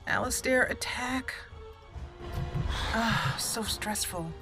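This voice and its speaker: A woman's voice: on the high side, takes it slowly, music in the background. A woman hosting a game playthrough, fretfully talking through each move as she plays. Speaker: let's play host. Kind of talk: gameplay commentary.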